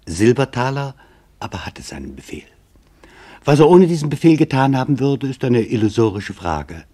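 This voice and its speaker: A man narrating a literary documentary audiobook in a measured, reflective way. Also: mid-range voice, big pitch swings, warm tone.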